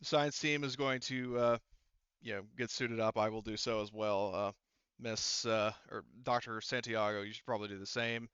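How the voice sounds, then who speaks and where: Man as formal expedition official in a roleplaying game, clear voice, stop-start instructions, dry sound. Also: on the low side, steady tone, moderate pace, fairly bright tone, no echo, highs cut off, lightly acted. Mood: businesslike.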